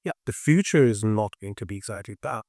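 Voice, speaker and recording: A man's voice: lowish voice, animated, fairly quick, a little mellow, dry recording, clean studio sound. A man discussing his industry as a tech consultant, emphatic and often repeating words mid-sentence.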